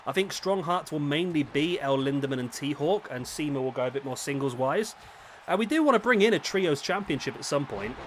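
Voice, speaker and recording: Slightly raspy man commentating a game. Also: a little high, lively intonation, fairly quick, slight background noise. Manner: chatty, thinks aloud and corrects himself. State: rough, slightly raspy throat. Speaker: host commentating a simulated wrestling league. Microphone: headset microphone.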